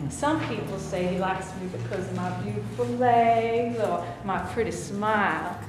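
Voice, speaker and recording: Woman doing a airheaded blonde starlet, high bouncy voice, flirty and full of herself, echoey room w/ noise.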